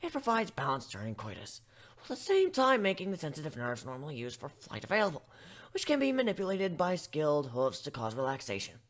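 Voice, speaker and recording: Man narrating a story with lively intonation. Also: fairly high pitch, fast talker. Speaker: story reader. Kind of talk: scripted first-person narration of fan fiction.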